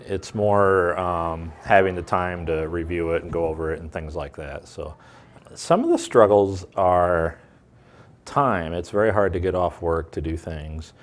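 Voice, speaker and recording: Deep-voiced man, reflective and trailing off. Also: measured pace. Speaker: working adult student and manager. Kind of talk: documentary interview.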